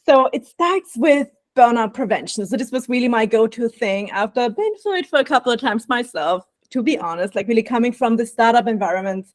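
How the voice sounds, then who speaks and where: A woman's voice: on the high side, expressive, a little fast, hardly any pauses, no room sound. A woman from Austria with an Austrian accent, a burnout prevention coach telling her story in a podcast interview, reflective and laughing often.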